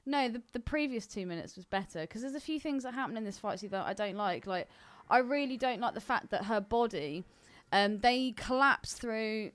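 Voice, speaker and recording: A woman chats casually on a film podcast, with a fairly high and very animated voice. She is opinionated and swears freely. The recording is dry, with little room sound. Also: normal speed, few pauses, no echo. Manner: sweary, opinionated, laughs readily. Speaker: podcast co-host.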